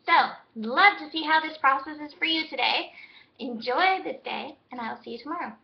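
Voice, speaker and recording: A woman astrologer giving a daily horoscope in a gentle, reflective, spiritual way, her voice hoarse as she loses it. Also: high-pitched, normal speed, muffled recording.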